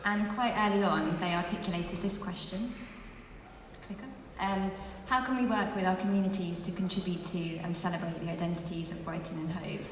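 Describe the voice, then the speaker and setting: A woman with a lowish voice addresses an audience in a measured way. She is heard from a distance in a large hall, with a faint ambient hiss under a long reverb. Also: steady tone. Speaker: co-presenter at a launch event. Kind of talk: live presentation to an audience. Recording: echoey hall from far off.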